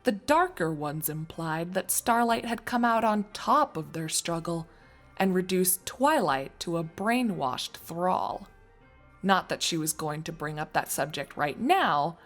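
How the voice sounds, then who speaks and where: A woman's voice: on the low side, big pitch swings, slow, soft background music. A woman narrating a scripted fan fiction story as a storyteller.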